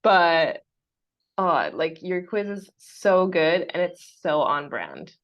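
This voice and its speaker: A chatty woman with a West Coast American accent hosts a casual podcast conversation, slightly lower in pitch than most women. She laughs often and fills her talk with "like." The audio is dull and lo-fi. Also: sing-song, slow pace. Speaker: podcast host. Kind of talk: casual chat.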